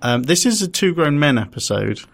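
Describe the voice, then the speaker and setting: A man's voice: fairly high pitch, big pitch swings, a little fast, gravelly. A young man from London co-hosting a podcast, chatting casually with self-deprecating, rambling humor. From London, England. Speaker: podcast co-host.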